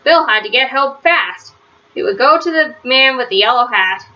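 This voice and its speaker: A woman reading a children's storybook aloud in an expressive way, with drawn-out emphasis. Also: high-pitched. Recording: dull, lo-fi sound.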